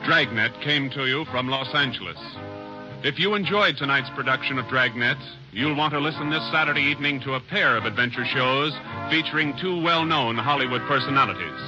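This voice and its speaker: A man reading formal announcements in a measured, declamatory old-time radio announcer style. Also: medium pitch, muffled recording. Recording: vintage radio broadcast with music.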